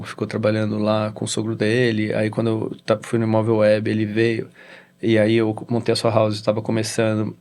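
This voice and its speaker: Middle-aged man from Brazil, interview guest; gravelly, level delivery, rambling anecdotes. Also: on the low side, darkish tone. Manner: rambling, many restarts and "né?" tags. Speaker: guest, company founder. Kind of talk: casual storytelling interview.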